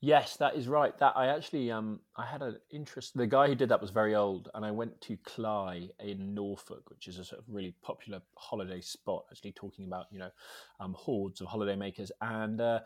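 A young man from Scotland, a journalist and author, talking as a guest in a relaxed interview, thoughtful and hesitant with many ums and restarts. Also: lowish voice, brisk, dry recording, clean studio sound.

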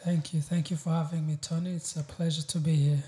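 Dark-voiced East African man in echoey room. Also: a little high, level tone, a little fast. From Uganda. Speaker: podcast guest, poet. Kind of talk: casual chat. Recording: roomy echo.

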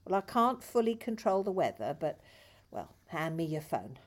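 A woman acts out a patient hospice nurse in an audio drama. Her voice is husky and moves up and down expressively as she gently reassures, with small hesitations. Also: on the low side, very animated, normal speed. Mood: accommodating. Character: patient, caring female hospice nurse on long shifts. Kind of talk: audio play dialogue.

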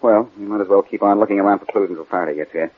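An actor playing a cool, observant amateur detective in a 1940s radio crime drama, dry and deductive. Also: male voice, fairly low pitch, rapid-fire, breathy edge. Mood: cool, analytical. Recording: muffled, scratchy 1940s radio recording.

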